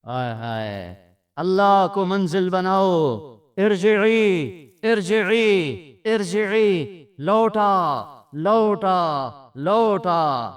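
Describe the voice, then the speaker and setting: A man's voice: sits high, clean tone. A man with a Pakistani accent preaching an Islamic sermon with Arabic recitation, emphatic and repeating phrases for effect. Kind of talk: impassioned Urdu religious sermon with Arabic recitation.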